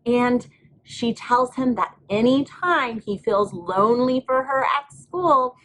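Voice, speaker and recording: Female primary teacher, children's craft demo: unhurried, expressive pitch, patient and simple step-by-step wording. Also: slight background noise, rough recording.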